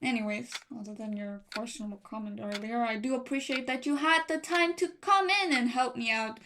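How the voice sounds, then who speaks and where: High-pitched, fussy manor secretary played by a woman. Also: fairly quick, lightly acted. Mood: dry, dismissive. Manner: polite but easily irritated, slips into Spanish. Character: fussy, exasperated female manor secretary, bilingual, quick to scold. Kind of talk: radio drama acting, recorded-diary monologue. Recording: noisy cassette-style recording with clicks.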